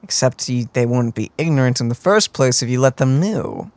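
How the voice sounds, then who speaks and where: A man's voice: mid-range voice, expressive, moderate pace, darkish tone, dry recording. A man co-hosting a game stream with casual reaction commentary. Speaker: streamer co-host.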